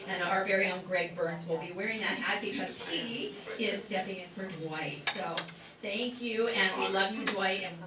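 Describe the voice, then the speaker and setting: A woman informally introducing a live show to an audience as its host. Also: mid-range voice. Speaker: instructor hosting a live show. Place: room before a live audience. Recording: echoey room, distant pickup, audience noise.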